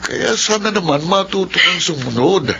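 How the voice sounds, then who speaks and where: A man's voice: high-pitched, lively intonation, normal speed, vocal fry, pushed and bright, exaggerated voice. An actor playing a controlling middle-aged uncle who lectures his niece in a radio drama. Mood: patronizing. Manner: patronizing, stern. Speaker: Tito Fred, the uncle. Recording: muffled lo-fi audio with faint hum.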